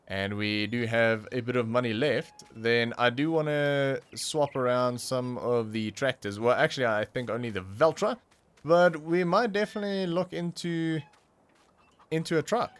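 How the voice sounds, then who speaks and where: A man's voice: mid-range voice, moderate pace, smooth. A young man, not a native English speaker, commenting casually on his own gameplay, thinking aloud with fillers and restarts. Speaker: gaming channel host.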